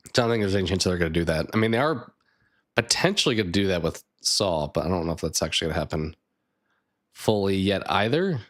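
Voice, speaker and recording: A man streaming a card game live, thinking aloud and chatting with viewers, his voice a bit raspy. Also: low voice, lively intonation, fast, fairly bright tone. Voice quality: slightly raspy. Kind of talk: casual live gameplay chat.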